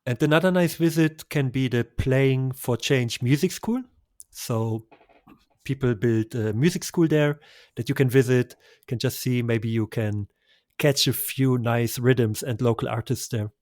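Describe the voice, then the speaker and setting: A man's voice: mid-range voice, a little slow, a little mellow, dry recording. Enthusiastic male travel guest, dry sound. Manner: enthusiastic, effusive recommendations with small laughs. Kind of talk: conversational travel interview.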